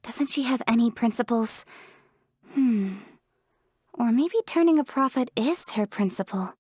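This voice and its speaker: Fantasy game role: proud, hardworking young female leader, highish voice, articulate and self-assured, dry close studio sound. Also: expressive, a little slow, a little mellow, slightly rough, no room sound. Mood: measured, candid. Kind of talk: scripted video game character voice lines.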